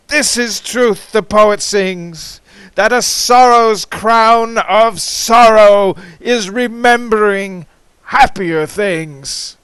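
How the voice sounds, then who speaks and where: A man giving a theatrical, impassioned recitation of poetry, swinging between hushed and ringing delivery. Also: sits high, clean tone, no room sound. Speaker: amateur poetry reader.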